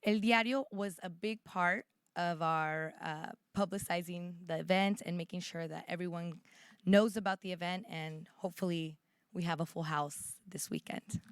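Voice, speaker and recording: A woman's voice: on the low side, steady tone, normal speed, clean tone, dry recording. A woman introducing speakers as the host of a public event.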